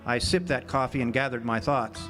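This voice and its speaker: An actor playing a world-weary, sardonic ex-jailbird drifter in a radio drama, wry and laconic. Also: male voice, medium pitch, normal speed, lightly acted. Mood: reflective, weary. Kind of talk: radio drama acting with first-person narration. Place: live amphitheater stage performance. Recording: live stage recording with music.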